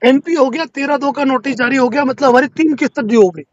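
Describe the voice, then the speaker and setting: A man's voice: very high voice, fast, no echo. A man speaking as a lawyer on a phone call, explaining a loan matter persuasively and insistently. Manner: persuasive, insistent, repeatedly asking to be heard. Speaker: lawyer advising on a loan default. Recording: dull lo-fi phone call recording.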